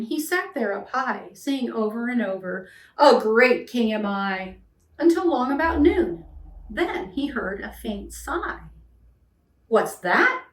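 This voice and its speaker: A middle-aged woman reading a rhyming children's picture book aloud as an expressive storyteller. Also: on the high side. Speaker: storyteller, read-aloud host. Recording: echoey room, distant mic.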